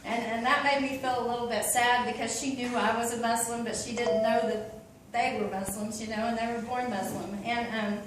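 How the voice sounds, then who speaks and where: A woman's voice: a little high, little pitch movement, fairly quick, few pauses, very echoey, far from the mic. Oklahoma lady telling her story, lots of ums, faint room hum behind. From Oklahoma, USA. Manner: chatty and open, many ums and you-knows, laughs easily. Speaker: convert giving a talk. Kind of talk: casual personal talk.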